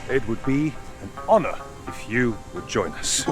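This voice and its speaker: An actor playing a courteous, tactful warrior in a video game, speaking in a measured, deferential way. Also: male voice, mid-range voice, lively intonation, music in the background, lightly acted. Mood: courteous, placating. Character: wry, diplomatic warrior, courteous guardian to a younger companion. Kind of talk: video game cutscene acting.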